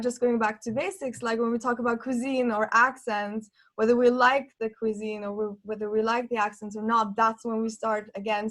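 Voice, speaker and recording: A woman from Norway speaks in a panel discussion. Her voice sits somewhat high. She talks earnestly and reflectively, stopping and restarting as she thinks aloud. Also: fairly bright tone.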